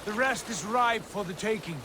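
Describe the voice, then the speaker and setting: Man playing a high-pitched commanding Viking war leader. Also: normal speed, noisy background, close to an everyday voice. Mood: measured, wary. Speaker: leader of a raiding crew. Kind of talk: video game cutscene acting. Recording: loud music and water behind dialogue. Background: game music, water, boat sounds.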